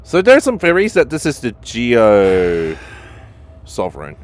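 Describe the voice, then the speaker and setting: A man doing casual let's play commentary, chatty and relaxed while reacting to the game. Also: medium pitch, unhurried. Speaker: gamer. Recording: voice over game music.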